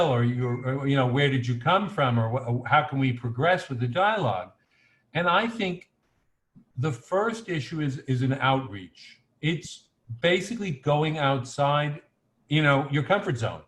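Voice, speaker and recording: Male candidate, early 60s. Medium pitch, conversational and anecdotal, often rewording himself mid-sentence. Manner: rambling, self-correcting, conversational. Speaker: political candidate, former bond salesman. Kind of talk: anecdotal unscripted panel talk.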